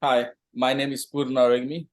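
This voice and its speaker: A man's voice: medium pitch, level tone, normal speed. A man from Nepal introducing himself to an online class in short, plain sentences over a video call. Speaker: student. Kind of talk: self-introduction.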